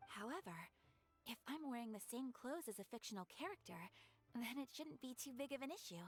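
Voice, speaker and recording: A woman's voice: high-pitched, brisk, faint music behind. Composed, refined young game noblewoman. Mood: modest, relaxed. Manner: calm, refined, formal, polite. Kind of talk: video game dialogue acting.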